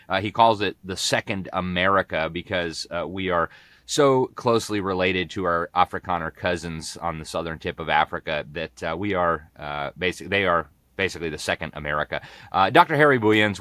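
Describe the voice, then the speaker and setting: A man's voice: low voice, sharp tone, no echo. A man hosting an interview, enthusiastic and hesitant with many filler sounds. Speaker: host.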